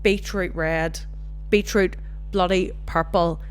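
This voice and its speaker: A young Northern Irish woman hosting her own podcast, chatting casually and telling animated stories. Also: fairly low pitch, slow pace, a little hiss or hum. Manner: chatty, animated storytelling with swearing. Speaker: podcast host. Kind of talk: casual solo chat.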